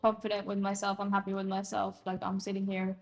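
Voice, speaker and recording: A woman's voice: medium pitch, monotone, quick pace. Earnest Norwegian-native young woman. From Norway. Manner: earnest, emphatic, filler "like". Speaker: guest sharing her experience. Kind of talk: casual interview chat.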